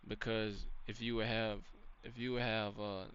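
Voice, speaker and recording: A man's voice: on the low side, flat delivery, moderate pace, a bit bright, clean tone, highs cut off. A man giving a casual faith-based lesson, rambling and loose, dropping "you know" and "man" throughout. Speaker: channel host giving a faith-based teaching.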